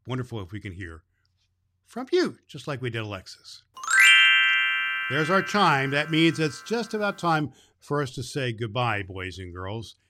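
A man's voice: medium pitch, fairly bright tone, close to an everyday voice. A man playing a kindly old Santa Claus who hosts a children's Christmas show, speaking cheerfully and emphatically in a folksy, fatherly way. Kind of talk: storytelling and chat addressed to children.

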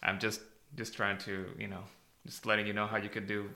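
A man's voice: lowish voice, level tone, fairly quick, vocal fry, pushed and bright. A man hosting a podcast, chatting in an informal, bantering way. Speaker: podcast host. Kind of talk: casual podcast chat.

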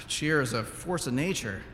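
A man playing a wry, take-charge scientist in an audio drama, expressive in delivery. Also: medium pitch, measured pace. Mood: weary, philosophical. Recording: echoey hall, faint noise.

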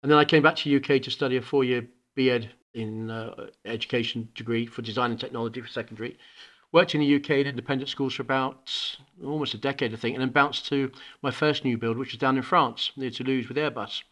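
A middle-aged man speaks at an ordinary, medium pitch. He talks with earnest conviction, often restarting and repeating words as he works through his thoughts, in a relaxed podcast conversation. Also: quick pace. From UK and Hong Kong. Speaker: founding school principal.

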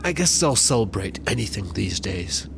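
An actor playing a mild, straitlaced Utah family man with a deadpan manner, in a comedy audio drama. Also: on the low side, moderate pace, creaky, very noisy.

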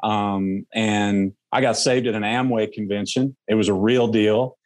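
Earnest man with a lower voice. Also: fairly even intonation, a little slow. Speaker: podcast guest. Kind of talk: casual interview conversation.

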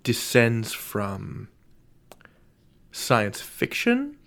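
A man's voice: mid-range voice, huge pitch swings, drawn-out words, gravelly, a bit bright. A man hosting an interview in a casual, analytical style, wry and emphatic, stretching out phrases and dropping in asides. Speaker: podcast host, interviewer.

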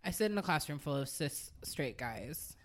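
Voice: medium pitch, lively intonation, normal speed. A person with a woman's voice chatting candidly and casually on a podcast as a co-host, swearing freely. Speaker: schoolteacher.

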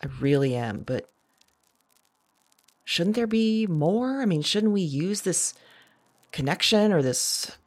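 Slow, halting, anxious fugitive woman, acted. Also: on the low side, very animated, heavily acted. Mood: hesitant, hopeful. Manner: halting, self-correcting, repeats words. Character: fugitive visionary woman, anxious, searching, talking to spirits. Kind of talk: naturalistic audio drama monologue.

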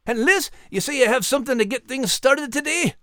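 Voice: high voice, expressive, brisk, bright, forceful tone, no echo, studio quality. An actor voicing a grumbling, cheeky male Scottie dog with a Scottish accent and a blustery manner. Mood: jolly. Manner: blustery, protesting, folksy. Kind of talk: scripted character acting for children's podcast.

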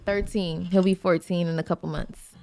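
Squeaky-voiced woman podcast co-host. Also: steady tone, moderate pace, gravelly, a little mellow. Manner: laughs off teasing, many fillers. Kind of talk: casual chat.

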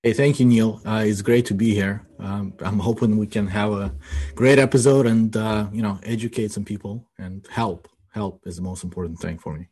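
A man's voice: lowish voice, fairly even intonation, normal speed, a little mellow, husky. A man in his forties from Ukraine, a guest in a casual podcast interview, rambling and tagging his sentences with "you know" and "right?". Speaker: guest, IT business owner.

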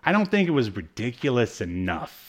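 A man hosting a casual pop-culture review chat, speaking in a halting, self-deprecating way. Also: mid-range voice, very animated. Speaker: podcast host.

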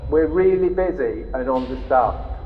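A man's voice: mid-range voice, animated, measured pace, muffled recording, background noise, close to an everyday voice. An actor playing a harried male prison officer who brushes off a request curtly. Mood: dismissive. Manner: curt, dismissive.

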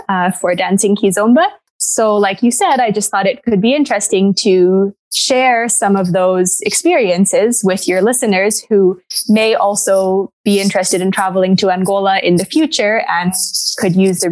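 A woman's voice: medium pitch, darkish tone. A woman from Canada talking casually as a guest in a podcast interview.

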